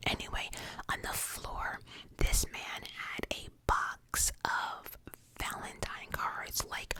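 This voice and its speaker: Whispering woman telling a story. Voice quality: whispering throughout. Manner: casual and profane, many fillers.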